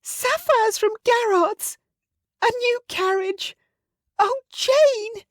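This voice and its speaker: Shrieking excitable mother. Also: very slow, no echo, exaggerated voice. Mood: gushing. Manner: shrill, gushing.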